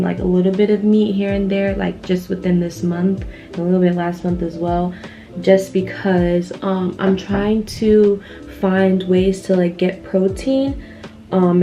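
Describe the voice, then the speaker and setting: A young woman with a soft-edged, mellow voice on the lower side talks casually to her viewers. Her delivery is informal and rambling, full of slang and "like". The audio is a little dull, with music playing underneath. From Ghanaian background. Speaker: YouTube vlogger. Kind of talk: casual chat to viewers, personal storytelling.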